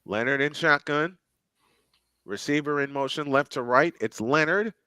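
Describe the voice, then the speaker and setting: A man's voice: fairly high pitch, normal speed, pushed and bright, clean tone, no room sound, studio quality. A man hosting a live stream, calling plays as a fan commentator and reading viewer comments aloud. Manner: calls plays and reads viewer chat aloud.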